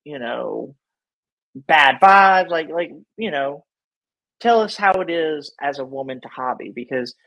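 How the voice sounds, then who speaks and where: A man's voice: on the high side, lively intonation, a little slow, vocal fry, highs cut off. A man hosting a casual interview, talking in an informal, slangy and opinionated way. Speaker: podcast host.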